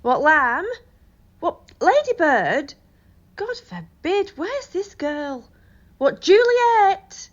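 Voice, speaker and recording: A woman's voice: extremely high pitch, very animated, very slow, full character voice. A woman playing a garrulous, sentimental older nurse in a Shakespearean audio drama, rambling and exclaiming, on a phone recording. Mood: eager, calling. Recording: slightly dull home phone recording.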